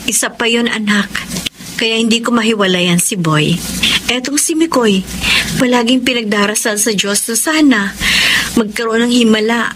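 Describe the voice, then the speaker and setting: A woman's voice: on the high side, moderate pace, background noise, natural voice. An actress playing a wounded, devoted wife and mother in a radio melodrama, earnest and emotional, with a Filipino accent. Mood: earnest, resigned. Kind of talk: melodramatic Tagalog radio drama acting. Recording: music under the voice.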